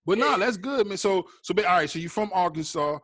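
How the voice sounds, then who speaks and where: A man's voice: sits high, lively intonation, fairly quick, fairly bright tone, no echo, clean studio sound. A man hosting a casual comedy interview, bantering in playful, slangy talk. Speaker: host, comedian.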